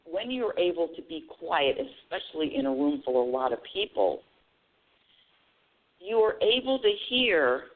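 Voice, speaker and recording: A woman hosting a spiritual call-in radio show, talking earnestly and often restarting her phrases. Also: fairly low pitch. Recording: tinny phone-line audio.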